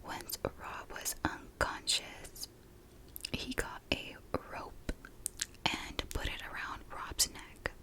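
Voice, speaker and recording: A woman whispering a true crime story in a soft, breathy ASMR style, unhurried and informal, like chatting to viewers. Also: low-quality recording. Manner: unhurried, informal, conversational narration.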